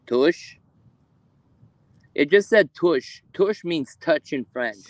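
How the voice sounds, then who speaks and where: A man hosting a live ghost hunt in a cemetery at night, speaking haltingly with many fillers as he calls out to spirits. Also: on the high side, lively intonation, no room sound. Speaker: ghost hunter streaming live. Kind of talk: live paranormal investigation stream.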